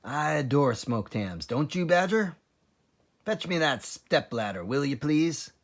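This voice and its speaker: A cunning storybook father fox, played by a man, excitable and bossy. He relishes every word and swings through a lively range of pitch. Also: measured pace, exaggerated voice. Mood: relishing, sly. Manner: exclamatory, relishing, commanding.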